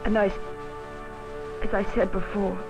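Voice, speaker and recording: A man's voice: sits high, little pitch movement, normal speed. An actor, a man, playing a despairing, mournful young crash survivor in a melodramatic old educational film. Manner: bitter, mournful. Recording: dull old film soundtrack. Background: music.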